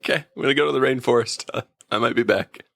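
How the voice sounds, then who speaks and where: A man's voice: mid-range voice, fast talker, gravelly, sharp tone. A man co-hosting a podcast, chatting casually and jokingly as in a review show. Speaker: podcast co-host. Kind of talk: casual comedic review chat.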